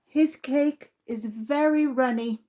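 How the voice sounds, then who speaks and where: A woman reading a children's storybook aloud as a storytime reader, in a deliberate, expressive way meant for young listeners. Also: sits high.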